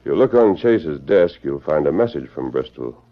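An actor playing a calm, commanding masked hero in an old radio western, measured and authoritative. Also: male voice, very deep voice. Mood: calm, probing. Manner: measured, authoritative, probing questions. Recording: dull old radio recording.

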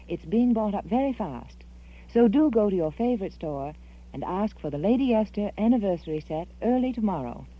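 American lady doing an old radio ad, measured but swoopy emphasis, dull vintage sound. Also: fairly low pitch. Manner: measured, emphatic, personal address. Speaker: advertising spokeswoman. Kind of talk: 1940s radio commercial, scripted pitch.

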